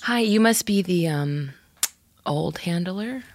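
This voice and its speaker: A woman's voice: fairly low pitch, expressive, slow pace, a little mellow. A woman improvising an awkward, defensive character in a comedy sketch. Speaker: new bird handler in a sketch.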